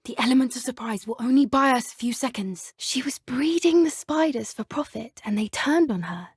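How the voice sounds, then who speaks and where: Earnest, high-voiced British schoolgirl witch. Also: expressive, normal speed, husky, dry recording, clean studio sound, full character voice. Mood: earnest, concerned. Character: earnest teenage witch schoolgirl, gentle animal lover. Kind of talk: video game voice acting.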